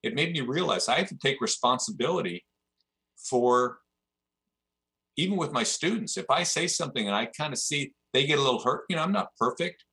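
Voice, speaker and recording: A middle-aged martial arts instructor talking earnestly and haltingly as a podcast guest. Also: male voice, mid-range voice, expressive, bright, forceful tone, rough recording. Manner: earnest, halting, restarts often. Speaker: jiu-jitsu instructor. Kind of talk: casual chat.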